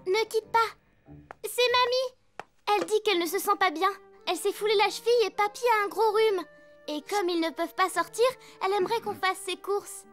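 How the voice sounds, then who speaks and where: Young elephant child, cartoon dub, very high small voice, eager, faint music behind. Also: female voice, normal speed, a bit bright. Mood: dutiful. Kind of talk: French cartoon dubbing.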